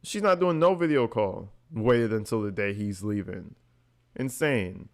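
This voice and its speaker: A man's voice: fairly low pitch, lively intonation, measured pace. Mocking man giving casual commentary. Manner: mocking, incredulous, profane. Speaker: host reacting to recorded calls.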